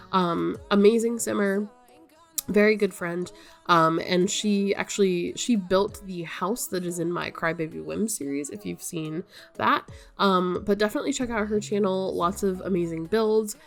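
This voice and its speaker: Sick, nasal young woman, gravelly edge. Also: mid-range voice, measured pace, soft background music. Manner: rambling, full of ums and likes. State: stuffy-nosed and sick, voice wearing out. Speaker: gaming YouTuber. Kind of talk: casual chat to viewers.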